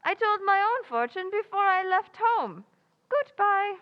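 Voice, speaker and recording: A high, swooping voice for a lady music teacher in a radio comedy. She is polite and crafty, mangles her grammar, and takes her time. The sound is slightly dull. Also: sing-song, exaggerated voice. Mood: breezy, sly. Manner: mangled grammar, progressive verbs, deliberate and polite. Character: foreign woman piano teacher and fortune teller, polite, crafty.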